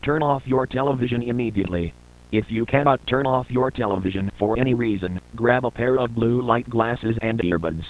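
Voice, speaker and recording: Male alert-system announcer, measured and official, narrow lo-fi broadcast sound. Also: mid-range voice. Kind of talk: scripted emergency broadcast announcement.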